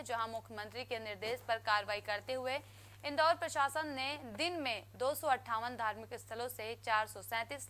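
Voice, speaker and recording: A woman reads the news in a formal, even newsreader manner. Her voice sits high and sounds bright and clear. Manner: crisp formal newsreader delivery. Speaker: news anchor. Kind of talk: scripted news reading.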